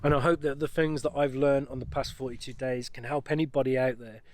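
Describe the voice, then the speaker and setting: Casual English male vlogger, mid-pitched, chatty and rambling, cheerful. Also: fairly even intonation, brisk, no room sound. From United Kingdom. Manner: rambling, many ums and restarts, upbeat. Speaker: van life vlogger. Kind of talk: casual vlog talk to camera.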